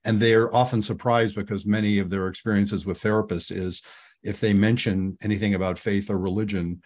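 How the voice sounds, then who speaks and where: A man's voice: lowish voice, darkish tone. A man hosting a therapy podcast, talking in a thoughtful, searching way with frequent restarts. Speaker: podcast host, couples therapist. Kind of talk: casual podcast conversation.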